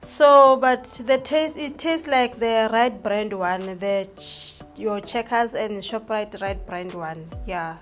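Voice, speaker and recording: An unhurried woman talks viewers through her cooking with plenty of fillers and restarts. Her pitch sits in the middle of the usual range. She is recorded in her home kitchen on a camera mic set across the room, with faint music underneath. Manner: chatty, hesitant, many fillers, calls viewers guys. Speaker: vlogger cooking for her family. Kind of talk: casual cook-with-me narration.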